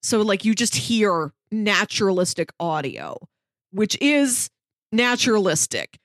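A woman's voice: mid-range voice, sing-song, unhurried, no room sound, clean studio sound. A woman co-hosting a podcast, casually explaining her thoughts in loose, conversational sentences. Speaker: podcast co-host. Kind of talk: casual discussion and review.

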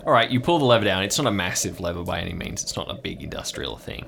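A man acting as game master, improvising descriptive scene narration and pausing as he builds up the detail. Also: low-pitched, expressive, creaky, background noise.

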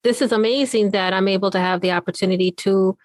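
A woman hosting a podcast, speaking in an earnest, encouraging way in drawn-out phrases. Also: mid-range voice, fairly even intonation, no echo, clean studio sound. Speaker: podcast host. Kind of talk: casual interview chat.

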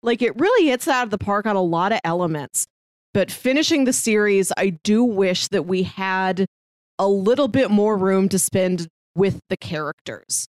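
A woman's voice: mid-range voice, expressive, moderate pace, dry recording. Conversational female podcast co-host, dry close sound. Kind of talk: casual chat reviewing a TV series.

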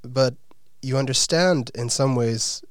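A man interviewing a guest, speaking earnestly with frequent pauses and fillers. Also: mid-range voice, smooth, no echo, studio quality. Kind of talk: conversational interview.

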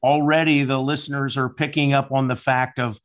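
A man's voice: medium pitch, little pitch movement, normal speed, fairly bright tone. A man hosting a casual interview, asking questions in an encouraging way. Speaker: podcast host.

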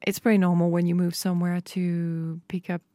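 A woman's voice: on the low side, little pitch movement, brisk, warm tone, no echo. A woman hosting a radio chat in a studio, asking curious questions in a light, easygoing manner. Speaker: radio host. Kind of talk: casual interview chat.

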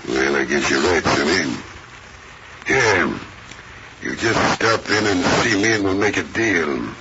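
An actor playing a brusque, scheming land buyer in an old radio western. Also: male voice, sits low, animated, slow pace, heavily acted. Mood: brusque, smooth-talking. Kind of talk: 1930s-40s radio western acting. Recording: very noisy, dull old radio recording.

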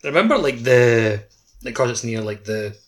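A man co-hosting a gaming podcast, talking casually in loose, halting phrases full of filler words. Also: mid-range voice, animated. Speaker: podcast co-host.

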